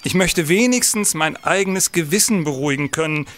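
Guy playing a grave troubled police senator in a radio drama, highish voice, very emphatic. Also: animated, moderate pace, fairly bright tone. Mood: grave, brooding. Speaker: actor in an audio drama. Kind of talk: German radio play acting.

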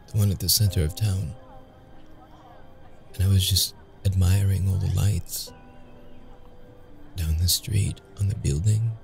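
A deep-voiced, brooding male vampire, acted by a man for an ASMR roleplay, wounded yet seductive. He speaks in a dark, mellow tone with heavy gravel, keeping it intimate and guarded. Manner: slow, halting, intimate delivery. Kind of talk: ASMR audio roleplay acting.

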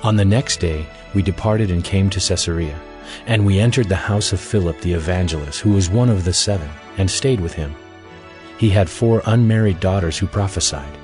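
A man narrating a dramatized audio Bible as measured, scripted storytelling. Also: sits low, over music.

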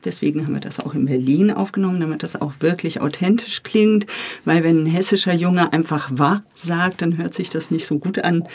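A woman's voice: fairly low pitch, a little mellow. A woman talking casually as an interview guest, recorded in a studio. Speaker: interview guest, radio editor.

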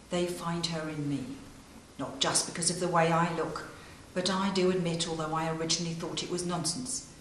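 A woman's voice: lowish voice, recorded from a distance, slight background noise. A middle-aged woman guiding visitors around a castle hall, speaking earnestly and emphatically to the camera. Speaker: castle guide. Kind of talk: guided tour talk to camera. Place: castle great hall, indoors.

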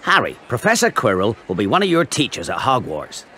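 An actor voicing a big, kindly, rough giant groundskeeper in a video game, hearty and rustic. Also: male voice, mid-range voice, huge pitch swings, fairly quick, bright, forceful tone, a little hiss or hum. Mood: jovial. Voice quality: gravelly.

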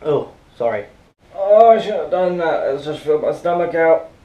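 A man's voice: on the high side, expressive, normal speed, dark tone. A young man vlogging casually from a small kitchen, giggly and self-mocking. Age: young adult. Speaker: comedy vlogger. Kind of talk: casual vlog. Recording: echoey kitchen.

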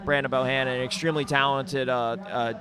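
A man's voice: medium pitch, flat delivery, fairly quick. A young man co-hosting a fight podcast, chatting and telling stories in an informal, slangy way. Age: young adult. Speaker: podcast co-host, MMA fighter and coach. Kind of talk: casual fight recap and storytelling. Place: indoor event venue, at a table. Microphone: podcast microphone on boom arm. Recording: busy crowd behind the voice.

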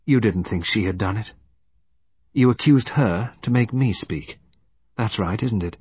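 A man's voice: fairly low pitch, a little slow, no echo, dull audio. A man voicing a prim middle-aged woman who confesses to murder, precise and emphatic, in an audiobook. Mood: conversational. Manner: clear, prim, emphatic diction.

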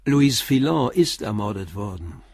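An actor in a German crime radio play playing a calm, persistent middle-aged police commissioner who questions in a measured, blunt way. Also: male voice, on the low side, husky, no echo, studio quality, exaggerated voice. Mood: insistent, confronting.

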